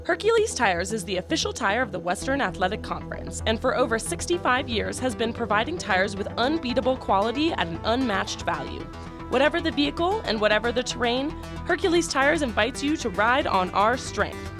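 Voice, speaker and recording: A woman's voice: fairly high pitch, big pitch swings, brisk. A woman reading a scripted commercial as an announcer. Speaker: advertisement announcer. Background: music.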